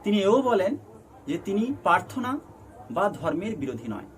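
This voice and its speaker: A man with a Bengali accent presenting a news explainer in halting, emphatic phrases. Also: high-pitched, big pitch swings. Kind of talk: scripted news explainer narration. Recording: noticeable background noise.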